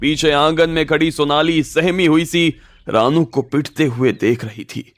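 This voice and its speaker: A man's voice: on the high side, steady tone, unhurried. A man narrating a scripted audio story in a dramatic storytelling style. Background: faint music.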